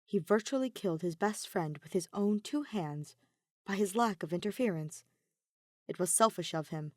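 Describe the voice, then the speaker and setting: A woman narrating fan fiction like an audiobook, measured and emotive, with dramatic pauses. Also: medium pitch, animated, no room sound, clean studio sound. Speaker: podfic reader.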